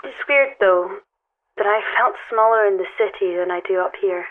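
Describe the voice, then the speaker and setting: An actress playing a reflective, weary but serene climber in an audio drama, talking gently and hesitantly through a telephone filter. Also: female voice, medium pitch, normal speed. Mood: gentle, assured. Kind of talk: naturalistic audio drama acting, intimate conversation. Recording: tinny phone line, music underneath.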